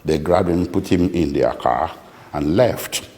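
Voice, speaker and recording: A man with a Nigerian accent answering interview questions as a lawyer, speaking deliberately and haltingly with precise detail. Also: sits low, dark tone. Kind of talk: interview answers recounting a client's account. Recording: echoey room with long reverb.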